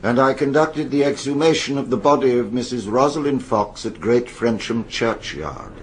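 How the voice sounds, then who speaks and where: An actor playing an authoritative British forensic pathologist, speaking in a formal, clinical, assured way in a radio courtroom drama. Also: male voice, medium pitch, normal speed, slight background noise, natural voice.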